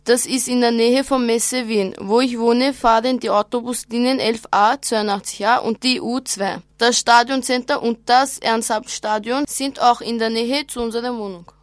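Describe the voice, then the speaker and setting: A woman's voice: a little high, steady tone, normal speed, few pauses, dry recording. Seventeen-year-old girl reading carefully. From Austria. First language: Turkish. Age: teens. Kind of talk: reading a prepared text on radio.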